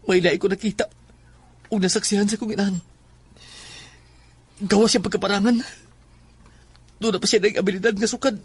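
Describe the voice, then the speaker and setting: A man's voice: high voice, fairly even intonation, a little fast, long pauses, breathy edge, exaggerated voice. A man acting as an anxious, secretive young son in a horror audio drama, with a Filipino accent and a grave delivery.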